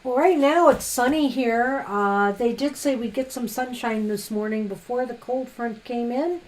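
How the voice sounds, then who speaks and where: Woman tarot reader on a live stream, chatty, talks fast, slightly high voice. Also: darkish tone, a little hiss or hum, rough recording. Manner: chatty, fast-talking, laughs often.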